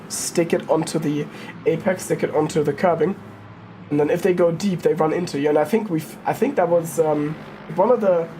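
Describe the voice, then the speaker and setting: A woman's voice: lowish voice, mellow, slight background noise. A woman giving casual live commentary on a sim race, thinking aloud and correcting herself as she goes. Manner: informal, thinking aloud, hesitant self-correcting.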